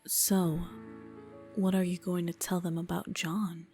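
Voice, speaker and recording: A woman playing a composed, probing doctor in a game dub, with a measured, matter-of-fact delivery. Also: on the low side, level tone, darkish tone, slightly rough, no room sound, faint music behind. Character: composed, probing female doctor on a house call.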